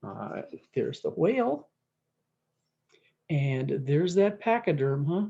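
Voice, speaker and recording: Mellow woman reading a folksy storybook, muffled. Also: sits low, sing-song, vocal fry. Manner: folksy, drawn-out emphatic words. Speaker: storyteller reading a picture book. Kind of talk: rhyming cowboy-dialect storybook read-aloud with brief asides.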